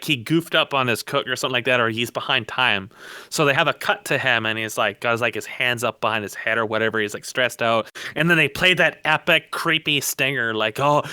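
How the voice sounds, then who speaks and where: A man's voice: mid-range voice, sharp tone, clear, resonant tone, no echo, clean studio sound. A man co-hosting a podcast in casual, opinionated discussion, rambling and emphatic, repeating words for stress. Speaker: podcast co-host.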